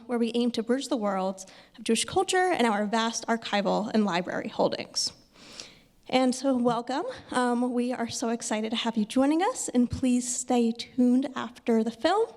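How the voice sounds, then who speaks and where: A woman's voice: on the high side, a little mellow. A woman hosting an event, giving a welcome speech to an audience. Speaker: event host. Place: large hall at a public screening. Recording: reverberant hall.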